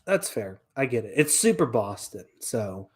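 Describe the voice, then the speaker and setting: A man in his twenties co-hosting a casual podcast, giving relaxed, dry opinions. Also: medium pitch, moderate pace, breathy edge. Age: 20s. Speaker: podcast co-host.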